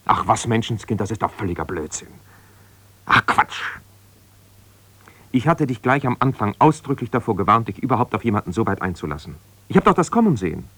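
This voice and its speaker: Defensive, low-voiced embittered husband. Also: male voice, very animated, a little fast, fairly bright tone, husky, no echo, full character voice. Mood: exasperated, accusing. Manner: defensive, reproachful, exasperated, insistent. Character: ambitious, embittered husband, a self-righteous lawyer. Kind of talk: naturalistic German radio play, one side of a phone call.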